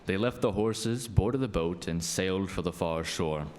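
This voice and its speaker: Low-voiced male storyteller. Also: a little slow, darkish tone, slight background noise. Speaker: narrator. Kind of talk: scripted storytelling narration.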